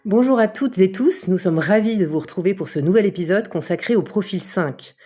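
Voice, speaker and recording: A woman's voice: medium pitch, brisk, over music. A woman hosting a podcast, welcoming listeners and introducing the panel in a friendly manner. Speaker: podcast host.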